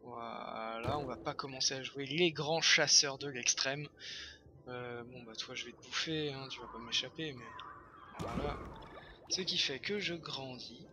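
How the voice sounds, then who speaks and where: A hoarse young man chatting casually over his own gameplay, excitable and full of fillers. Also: mid-range voice, a little slow, noisy background. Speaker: gameplay commentator. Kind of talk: casual let's-play commentary.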